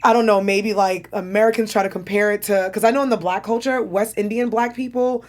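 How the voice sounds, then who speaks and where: A woman's voice: mid-range voice, fairly quick. Woman co-host leading a recap chat. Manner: lively, leads the talk and asks others.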